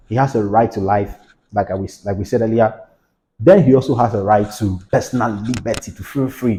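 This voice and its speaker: A man's voice: mid-range voice, dark tone, rough recording. A man giving a patient, explanatory lesson on legal rights, repeating his points with everyday examples. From Ghana. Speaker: legal educator. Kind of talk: explanatory lecture to camera.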